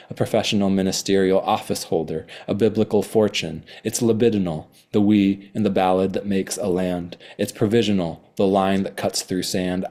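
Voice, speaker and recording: A man reading his own poems slowly and deliberately, with hesitant, rambling remarks between them. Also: low voice, darkish tone. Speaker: poet. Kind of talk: poetry reading with chatty asides.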